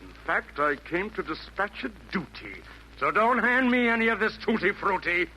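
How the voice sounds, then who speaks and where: A man's voice: high-pitched, expressive, moderate pace, pushed and bright, full character voice. An actor playing a vain, scheming male villain from hell, delivering pompous, florid rhymed verse in theatrical 1940s radio style. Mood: boastful, menacing. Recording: noisy vintage 1940s radio broadcast.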